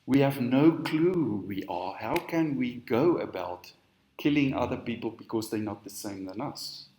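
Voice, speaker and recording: A man's voice: medium pitch, animated, warm tone, echoey room, slight background noise, poor audio. Earnest Afrikaans-speaking man preaching. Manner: earnest, slow and emphatic. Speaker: spiritual teacher. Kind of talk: sermon. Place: on stage in a hall.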